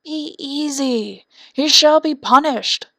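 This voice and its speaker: A woman's voice: high-pitched, takes it slowly, sharp tone, dry recording, pitched above the performer's own voice, heavily acted. A woman voicing a proud, protective willow-wren king in a storybook, speaking in a stern, regal manner. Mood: reassuring resolve. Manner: regal, stern, declaring threats and orders. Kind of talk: storybook character voice.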